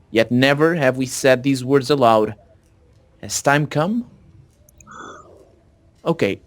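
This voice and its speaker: A man chatting casually as a co-op gamer, sometimes reading game text aloud. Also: medium pitch. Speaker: gamer, co-op let's play commentator.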